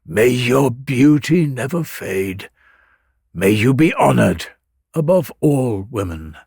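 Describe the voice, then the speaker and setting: A man's voice: mid-range voice, sing-song, slow, vocal fry, darkish tone, slightly rough, dry recording, studio quality, full character voice. A man voicing a doomed, wild-born hero of myth, brooding and bitter, in an intense, sorrowful manner. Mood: hushed blessing.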